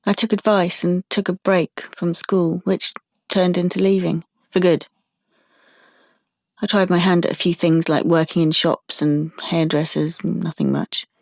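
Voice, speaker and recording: A withdrawn young British woman tells her story in an intimate audio drama monologue. Her voice is fairly low with a creaky edge, recorded dry and close, and she sounds haunted and hesitant. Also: level tone, unhurried, dry recording. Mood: troubled, reflective. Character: withdrawn, haunted young woman traumatised by surveillance and a leaked photo.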